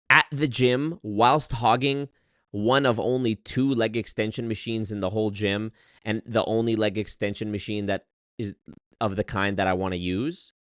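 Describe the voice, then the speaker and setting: A man telling a story on his livestream in an animated, exasperated way, swearing often and reading chat. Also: fairly low pitch, no echo, studio quality. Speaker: streamer. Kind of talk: casual livestream rant.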